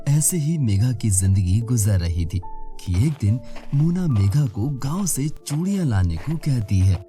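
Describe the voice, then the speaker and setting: A woman's voice: very deep voice, very animated, unhurried, warm tone, faint music behind. A woman narrating a scripted children's moral story as the storyteller.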